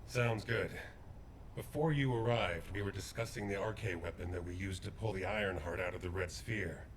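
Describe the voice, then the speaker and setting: A man voicing a grave, courteous veteran soldier in a video game cutscene, speaking in a measured, formal way. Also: low voice, background noise, lightly acted. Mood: purposeful.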